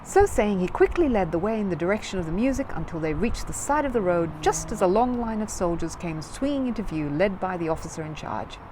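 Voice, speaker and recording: A woman reading a children's book aloud as scripted narration, in an expressive storyteller's manner. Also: mid-range voice, brisk, few pauses, background noise.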